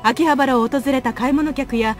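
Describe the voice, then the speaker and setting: A formal female news reporter in a video game, acted by a woman, delivers her bulletin in a high voice. She goes extremely fast and stays brisk and official. Faint music plays underneath. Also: natural voice. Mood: formal, urgent. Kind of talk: scripted Japanese news report.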